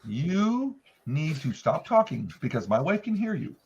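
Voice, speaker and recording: A man's voice: a little high, sing-song, measured pace, husky. A middle-aged man chatting casually as a livestream guest on a video call, folksy and emphatic, in dull lo-fi call audio. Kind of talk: casual chat.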